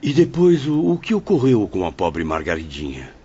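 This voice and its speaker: A man's voice: lowish voice, wildly swooping pitch, normal speed, dark tone, husky, muffled recording, heavily acted. A man playing an inquisitive, courteous spirit apprentice in an audio drama, asking formal questions. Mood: curious, concerned.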